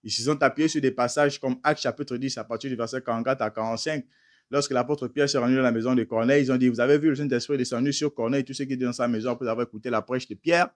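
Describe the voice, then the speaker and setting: A man's voice: mid-range voice, steady tone, very fast. Guy preaching hard, mid voice, keeps hitting rhetorical questions. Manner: emphatic, asks rhetorical questions; addresses listeners as beloved brothers. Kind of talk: teaching sermon.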